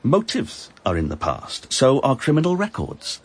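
Needling detective, raspy, very animated delivery. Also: male voice, medium pitch, moderate pace. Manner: sarcastic, needling. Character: sardonic, needling police inspector. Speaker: police inspector. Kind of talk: radio drama acting.

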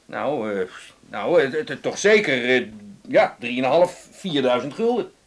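A man's voice: medium pitch, animated, measured pace. An actor in a radio drama playing a flustered, indignant cinema owner who stammers and repeats his words. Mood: flustered, defensive.

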